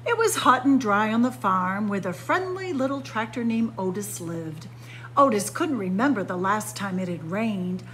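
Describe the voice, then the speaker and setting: A woman's voice: medium pitch, a bit bright, slight background noise, rough recording. A woman reading a children's picture book aloud like a story-time librarian, expressively, with dramatic emphasis on key words.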